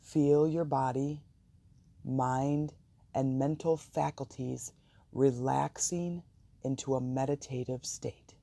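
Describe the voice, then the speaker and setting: A meditation host with a soft, gravelly voice, guiding listeners calmly and soothingly with deliberate pauses. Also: medium pitch, dry recording. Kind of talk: guided meditation and affirmations.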